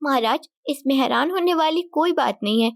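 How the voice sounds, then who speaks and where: A woman's voice: high-pitched, normal speed, vocal fry, no room sound, studio quality, pitched above the performer's own voice. A woman voicing a scheming, vengeful queen in a cartoon moral story, accusing and theatrical in manner. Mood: cold, mocking. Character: vengeful, scheming young queen, cold and mocking. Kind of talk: dramatic Hindi moral-story cartoon dialogue. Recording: loud music bed behind voice.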